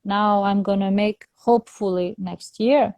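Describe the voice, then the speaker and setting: Slow, hesitant woman in a dry recording. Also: mid-range voice, steady tone, creaky, no echo. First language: not English. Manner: hesitant, many fillers and restarts, laughs softly. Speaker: soprano opera singer, interview guest. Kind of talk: casual interview conversation in English.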